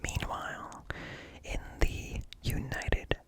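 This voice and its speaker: A man reading aloud in a hushed ASMR whisper, close to the microphone, pausing between short phrases. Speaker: ASMR creator reading encyclopedia articles aloud. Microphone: close-up microphone with ear-to-ear stereo.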